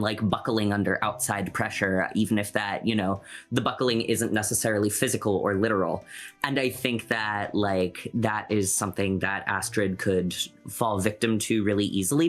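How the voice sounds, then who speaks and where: A man's voice: fairly low pitch, fairly bright tone. A man talking casually in a group discussion, analytical and thoughtful, wandering through asides as he reasons. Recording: background music under the voice.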